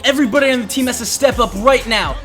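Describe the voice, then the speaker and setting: Very high-pitched male voice, gamer host, self-hyping basketball play-by-play, music behind. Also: fast, pushed and bright. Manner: self-hyping, narrates own plays in present tense. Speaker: video gamer and channel host. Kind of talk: basketball video game play-by-play commentary.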